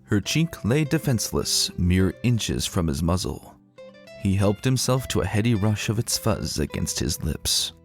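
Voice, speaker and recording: A man giving an expressive, dramatic narration of romantic fiction in a literary storytelling manner. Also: lowish voice, measured pace, soft background music.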